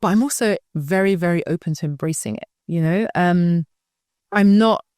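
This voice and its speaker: British woman, school founder, reflective and conversational, low-pitched, dry recording. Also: moderate pace, a little mellow, clean tone, dry recording. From United Kingdom. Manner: reflective, often checks in with the listener. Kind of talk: conversational interview answer.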